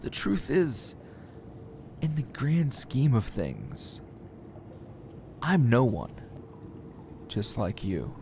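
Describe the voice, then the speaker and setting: A man plays a captured male hero in an audio roleplay, sardonic and defiant to the last. He taunts his kidnapper at a slow, lazy pace, in a mid-pitched voice with sighs and dry chuckles. A faint noisy ambience sits behind him. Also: huge pitch swings, vocal fry, darkish tone, full character voice. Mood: mocking, defiant. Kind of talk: ASMR roleplay voice acting.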